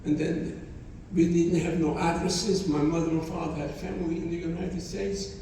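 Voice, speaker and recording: A man's voice: a little high, flat delivery, warm tone, recorded from a distance, a little hiss or hum. Elderly man speaking in an echoey hall. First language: not English. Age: 90s. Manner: halting, repeats phrases, speaks with feeling. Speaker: Holocaust survivor speaker. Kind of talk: testimony to students. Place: college hall.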